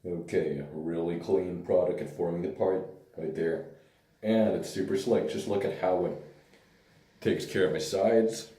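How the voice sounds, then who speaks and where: A man with a hoarse, tired voice talks through a hair product review in a loose, rambling way. His voice sits very low and sounds dark and mellow. He is recorded from some distance in an echoey room. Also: unhurried. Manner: relaxed, rambling, hesitant fillers. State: hoarse, lost voice. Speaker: pomade reviewer.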